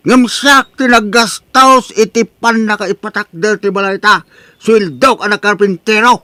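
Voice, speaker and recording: A man's voice: high-pitched, measured pace, sharp tone, no echo, studio quality. A man with an Ilocano accent from the Philippines playing a stubborn, indignant husband who protests insistently in a radio-style comedy drama. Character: indignant, stubborn husband refusing to sell house.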